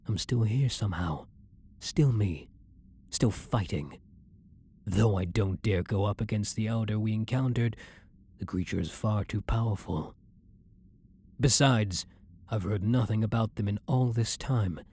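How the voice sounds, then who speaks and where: Man acting as a husky, brooding vampire hunter. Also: on the low side, a little mellow. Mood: weary, confessional, then brooding, regretful. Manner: blunt, commanding, guilt-ridden, apologetic. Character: blunt, brooding male vampire hunter who is secretly a vampire. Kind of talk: ASMR audio roleplay, intimate dramatic monologue.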